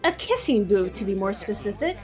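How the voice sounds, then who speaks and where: A woman narrating an audio drama in a calm, even storybook manner. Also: medium pitch, a little fast, faint music behind.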